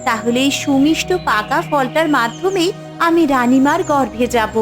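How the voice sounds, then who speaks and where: A woman's voice: moderate pace, music in the background, natural voice. A woman voicing a scheming spirit yearning for rebirth in a fairy-tale cartoon, theatrical and determined. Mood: eager, determined. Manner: high-pitched, theatrical, determined.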